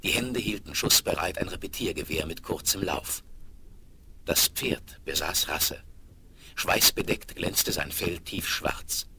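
A man's voice: sits low, expressive, a little slow, creaky, bright, forceful tone. A man narrating a Western audio drama in a dramatic, scripted storytelling style. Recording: very noisy 1970s vinyl rip.

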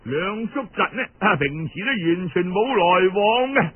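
Male storyteller, Cantonese accent, high pitch, traditional narration, dull radio-style recording. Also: lively intonation, unhurried.

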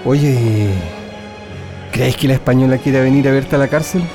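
A man's voice: mid-range voice, steady tone, moderate pace, over music, lightly acted. A man playing a resolute, questioning political prisoner in a radio drama. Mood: curious.

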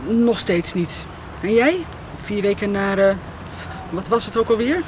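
An actress in a radio soap playing a weary, yearning middle-aged farm wife who talks haltingly, bitter yet tender. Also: female voice, medium pitch, lively intonation, noisy background, close to an everyday voice.

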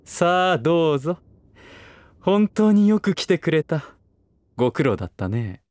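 Smug, lilting aristocrat, slightly high-voiced. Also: male voice, very animated, unhurried, darkish tone. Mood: amused, insistent. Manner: languid, lordly phrasing with amused chuckles. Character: smug aristocratic businessman, teasing and condescending. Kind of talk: Japanese voice drama acting.

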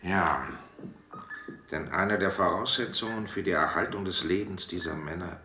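Very deep, echoing, pompous actor as technocrat ruler. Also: male voice, moderate pace, noisy background, close to an everyday voice. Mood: grandiose, lecturing. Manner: pompous, condescending, lecturing. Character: grandiose male leader of a dystopian technocratic state. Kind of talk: German science-fiction radio drama acting. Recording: echoey radio drama with music underneath.

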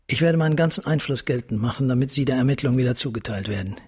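An actor playing a middle-aged, grieving and vengeful police captain in a radio drama, formal, bitter and menacing. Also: male voice, mid-range voice, expressive, fast, a little mellow, husky. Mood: bitter, commanding.

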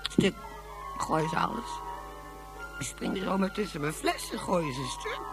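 A woman's voice: lowish voice, huge pitch swings, measured pace, over music, heavily acted, poor audio. An actress playing a drunken, anxious mother in a science-fiction radio play, rambling and clinging. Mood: agitated. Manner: rambling, repetitive, slurred and dramatic.

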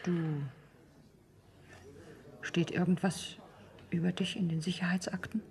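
An actress playing a wry, questioning middle-aged bride-to-be in a radio drama, understated and probing. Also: female voice, lowish voice, flat delivery, unhurried, gravelly, darkish tone.

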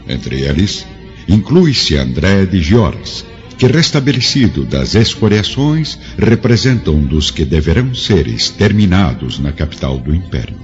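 A Brazilian male narrator with a very deep voice delivers solemn, theatrical radio drama narration. The pitch moves dramatically through each phrase. The recording is somewhat dull, with music behind. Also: very animated, unhurried. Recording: dull lo-fi audio, music underneath.

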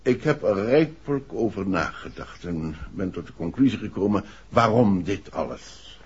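A grave gentleman in an old radio drama, his voice low and husky. He speaks in a formal, measured and resolute way, courteous throughout. The sound is dull and muffled. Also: lively intonation. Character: grave, resolute bereaved father, wealthy gentleman client. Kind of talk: 1960s Dutch radio play acting.

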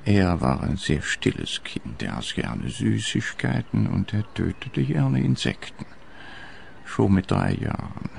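An actor playing a very old father in a radio play, reminiscing deliberately and deadpan about his wayward son. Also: low voice, vocal fry, slight background noise, full character voice. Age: older.

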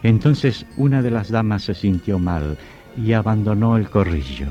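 A man's voice: lowish voice, animated, normal speed, lightly acted. A man playing an ominous storyteller, narrating an old radio horror drama in a dramatic, literary style. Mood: suspenseful. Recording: dull old radio recording, loud music.

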